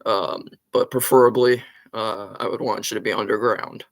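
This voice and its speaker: Urgent livestream storm tracker, sore throat. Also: male voice, lowish voice, fairly even intonation, normal speed, creaky, no room sound. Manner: urgent warnings mixed with frequent fillers. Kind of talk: live weather commentary.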